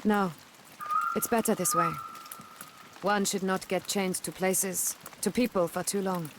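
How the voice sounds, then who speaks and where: A woman's voice: mid-range voice, steady tone, a little slow, natural voice. Woman acting blunt mercenary captain in the rain. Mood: resolute. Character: tough, restless female mercenary captain. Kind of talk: dramatic game cutscene acting. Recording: rain and clear background noise.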